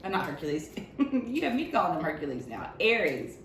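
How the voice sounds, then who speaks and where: Expressive woman hosting kids' stories, echoey room. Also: lowish voice, a little fast. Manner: expressive, talking to a small child with many simple questions. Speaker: library staff host of a children's story and recipe video. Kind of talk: casual storytelling and cooking demo with a child. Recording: roomy echo, clear background noise.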